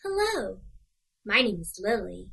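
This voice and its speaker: A man voicing a gentle young female bunny in a soft, kindly manner for a children's story. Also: high-pitched, big pitch swings, unhurried, gravelly, husky, pitched above the performer's own voice, full character voice.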